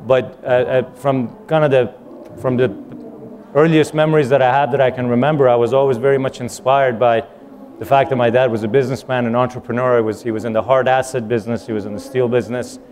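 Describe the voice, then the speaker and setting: A man's voice: mid-range voice, steady tone, fast talker, a little mellow. A young man from Iran who grew up in Vancouver, answering as a guest on a live conference panel, fluent with frequent uh's and repeated words. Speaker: panel guest, company founder.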